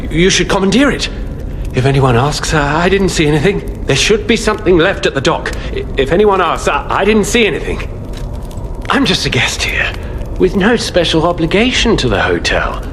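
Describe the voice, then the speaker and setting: An actor playing a boastful, self-serving treasure merchant in a video game, smug and wheedling. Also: male voice, a little high, very animated, moderate pace, a bit bright, in a large hall, a little hiss or hum. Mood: sly pleading. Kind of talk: video game character acting.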